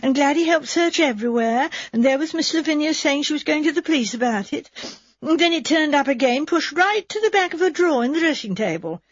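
A woman's voice: high voice, expressive, brisk, no room sound, dull audio, voice pushed up. A woman doing an anxious young housemaid. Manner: breathless, pleading, rambling.

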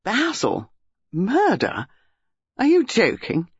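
A reader voicing an excitable, devoted young wife in a breathless, incredulous, pleading manner. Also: mid-range voice, normal speed, no echo, lo-fi sound, voice pushed up.

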